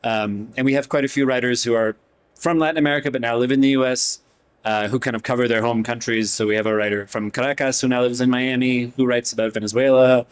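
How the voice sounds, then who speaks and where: A man speaking as a newspaper editor on a panel, relaxed and conversational. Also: medium pitch, fast, clean tone. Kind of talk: panel discussion. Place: event hall panel.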